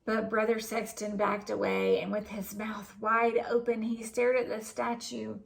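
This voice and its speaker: Female storybook narrator, very gravelly fry, unhurried and expressive delivery. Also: mid-range voice. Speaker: storybook reader. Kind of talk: scripted narration, children's story read aloud.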